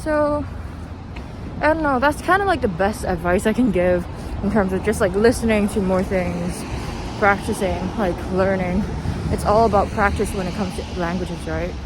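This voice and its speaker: Young woman vlogger, casual, tired, rambly; street traffic clearly heard behind her. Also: mid-range voice, normal speed. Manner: tired, chatty, lots of fillers. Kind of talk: casual rambling talk while walking. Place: outdoors by a road with traffic.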